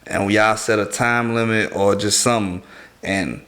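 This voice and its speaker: A man's voice: on the low side, steady tone, moderate pace, a bit bright. A man on a talk panel explaining his idea in casual, colloquial speech, often checking that the others follow. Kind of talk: casual chat.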